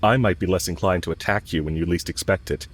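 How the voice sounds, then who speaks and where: A man performs a smitten villain with a low voice, speaking straight to the listener as in an intimate audio drama. He is cocky and casual, teasing as he goes, and blunt about his affection. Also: fast, husky. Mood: fond, flirtatious. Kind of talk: romantic audio roleplay, spoken intimately to the listener.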